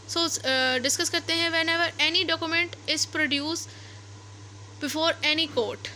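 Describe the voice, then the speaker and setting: A woman's voice: sits high, pushed and bright, rough recording. A woman with a Pakistani accent giving an explanatory law lecture, reading out passages and going over them step by step. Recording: noticeable background noise.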